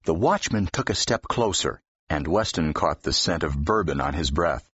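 A man with a deep, husky voice reading fiction expressively as an audiobook narrator. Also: expressive, normal speed, a bit bright, no room sound. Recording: dull, slightly muffled audio.

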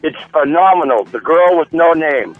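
A man calling in from his truck to a radio talk show, loud and enthusiastic as a fan. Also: on the high side, animated, normal speed, dry recording, soft background music. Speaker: caller. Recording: dry tinny phone line.

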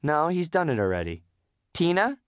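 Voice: medium pitch, big pitch swings, normal speed, no room sound, clean studio sound, voice pushed up, lightly acted. A storyteller voicing a weary, flustered middle-aged female fish schoolteacher, hesitant and exasperated. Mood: flustered, desperate.

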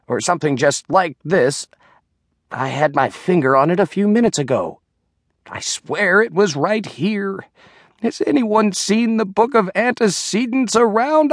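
A man voicing a rambling, absent-minded old village congregation leader in an audiobook, halting and easily sidetracked. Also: high voice, no room sound, studio quality, pitched above the performer's own voice, full character voice. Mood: rambling, solemn, then flustered, embarrassed. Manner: halting, digressive, self-interrupting. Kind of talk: audiobook character voice.

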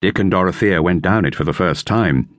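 A man reading a children's novel as an expressive audiobook narrator. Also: low-pitched, fairly quick, no room sound.

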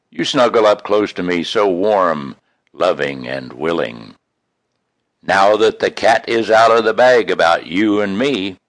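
A man reading his own poems in an expressive, folksy, homespun way, with casual introductions between them. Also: low voice, no echo. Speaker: poet reading own work.

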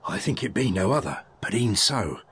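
An actor playing a sober soldier on night watch in a dramatised Shakespearean audiobook. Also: male voice, on the low side, big pitch swings, normal speed, a bit bright, slightly rough, full character voice. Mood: grave, pondering.

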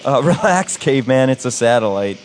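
Man playing a wry, animated know-it-all camper. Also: mid-range voice, fairly quick, slight background noise, close to an everyday voice. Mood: amused. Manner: explanatory, wry. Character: skeptical, know-it-all young male camper, nerdy and teasing. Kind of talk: live horror audio drama acting.

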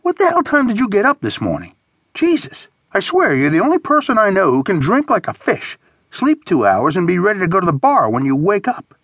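Man putting on a loud, high-pitched, whining pushy buddy. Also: wildly swooping pitch, normal speed, vocal fry, husky, no echo, exaggerated voice. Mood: exasperated. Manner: exclamatory, whining, profane. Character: loud, bored, pushy friend, crass and childish man. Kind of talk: acted audiobook dialogue.

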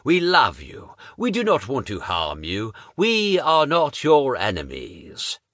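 A man's voice: medium pitch, wildly swooping pitch, takes it slowly, a bit bright, no echo, clean studio sound, voice pushed up, exaggerated voice. A man voicing a bold, fervent preacher who harangues a monster with sermons and insults, his voice almost singing. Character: bold fire-and-brimstone male preacher, booming.

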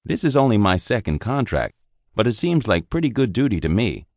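A man's voice: fairly low pitch, sing-song, brisk, no echo, studio quality, voice pushed up. A man voicing a naive, eager young army private who asks earnest questions. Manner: wide-eyed, earnest questioning.

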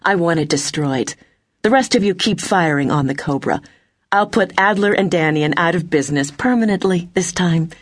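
A woman's voice: lowish voice, normal speed, close to an everyday voice. A woman voicing a sadistic, gloating female warship commander in a space audiobook, menacing and smug. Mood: ruthless orders.

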